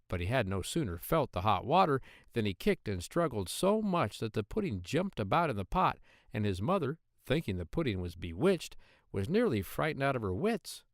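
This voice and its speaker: Man reading a children's tale, lively intonation. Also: mid-range voice, no room sound, clean studio sound. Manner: expressive storytelling. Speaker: audiobook narrator of children's tales. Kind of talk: scripted storybook narration.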